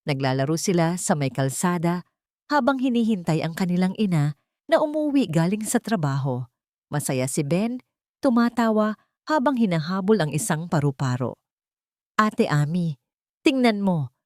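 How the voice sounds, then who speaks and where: A woman's voice: lowish voice, very animated, normal speed, darkish tone, dry recording, studio quality. A woman narrating a dramatic scripted story with solemn, emotive delivery.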